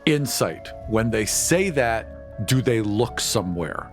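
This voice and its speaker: A man's voice: fairly low pitch, sing-song, slow pace. Male tabletop player over a music bed. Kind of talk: casual game narration and play.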